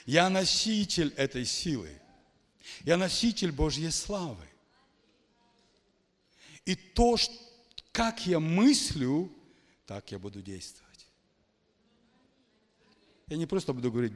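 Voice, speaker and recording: A man preaching in a church hall, his higher voice ringing out with a long reverb. He swings widely in pitch, dropping to hushed tones and then shouting his exclamations. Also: very animated, normal speed, pauses often. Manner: emphatic, dramatic swings from quiet to shouting. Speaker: pastor. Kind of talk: charismatic sermon.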